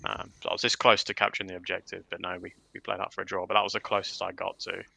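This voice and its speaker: A man's voice: low voice, flat delivery, rapid-fire, gravelly, pushed and bright, no room sound. A man chatting casually about his miniature wargaming hobby on a livestream, with a self-deprecating manner. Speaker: livestream panel member.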